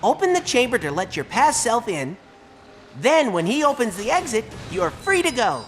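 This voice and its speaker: An actor playing a small, earnest robot helper in a game, giving courteous step-by-step instructions. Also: male voice, very high voice, big pitch swings, normal speed, a bit bright, faint music behind, exaggerated voice. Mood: patient instruction. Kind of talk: game tutorial acting.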